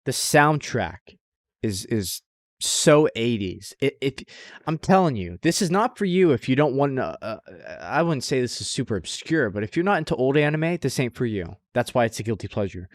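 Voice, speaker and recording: A man's voice: medium pitch, sing-song, normal speed, dry recording. Casual slangy man, close dry sound. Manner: profane, slangy. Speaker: podcast co-host. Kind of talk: casual chat about anime.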